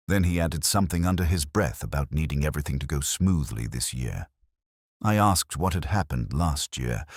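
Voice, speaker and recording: A man reading a scripted literary detective story in the first person, in a measured, brooding, wry manner. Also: extremely low pitch, dry recording, clean studio sound. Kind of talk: scripted literary mystery narration.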